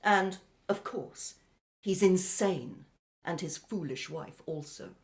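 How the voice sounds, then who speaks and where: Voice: mid-range voice, slow. An actor playing a precise, haughty, educated foreign exile in a radio play, with formal, pedantic phrasing. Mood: scornful.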